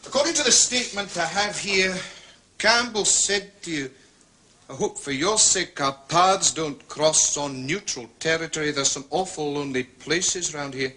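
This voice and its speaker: An actor playing a dogged, sceptical police inspector with a husky, deliberate delivery. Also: male voice, fairly high pitch, pushed and bright, a little hiss or hum. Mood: stern suspicion. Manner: deliberate, insistent.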